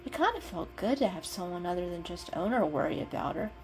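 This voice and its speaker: A woman's voice: fairly low pitch, animated, brisk, a little mellow. A woman giving a dramatic reading of an animal story as its narrator. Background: background music.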